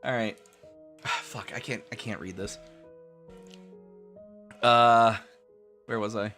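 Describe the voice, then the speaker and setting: A man's voice: on the low side, fairly even intonation, takes it slowly, sharp tone, soft background music. A man live streaming a dramatic reading of fan fiction, breaking off into exasperated asides.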